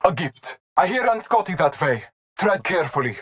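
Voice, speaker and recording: An actress playing a solemn, intense hunter with grave, archaic phrasing in video game voice lines. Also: female voice, on the low side, sing-song, normal speed, slight background noise. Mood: watchful.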